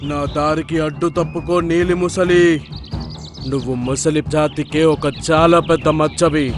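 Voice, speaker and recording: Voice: mid-range voice, fairly even intonation, a little slow, music in the background, lightly acted. A man voicing a greedy, cruel crocodile villain in a cartoon, gloating and threatening. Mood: angry scorn. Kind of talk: cartoon character voice acting.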